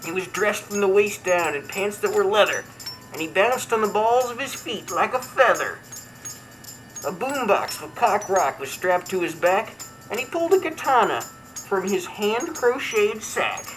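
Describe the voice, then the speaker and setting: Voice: mid-range voice, lively intonation, unhurried, a bit bright, low-quality recording. A performer voicing a brash, crude martial arts buddy character, reading comic verse in a mock-dramatic, theatrical way. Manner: mock-dramatic storytelling, irreverent. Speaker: comedy show host character. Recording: music behind the voice.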